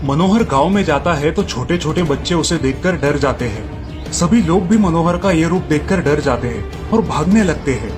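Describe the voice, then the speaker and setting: Male narrator for children's moral tale: scripted storytelling, slightly high register, clear music bed. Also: lively intonation, normal speed.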